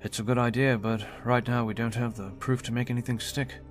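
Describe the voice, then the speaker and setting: A man voicing a measured, courteous team strategist in an audiobook, speaking in a formal, projected way. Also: mid-range voice, flat delivery, pitched above the performer's own voice, lightly acted. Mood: measured, resolute. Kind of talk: audiobook character dialogue. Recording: music behind the voice.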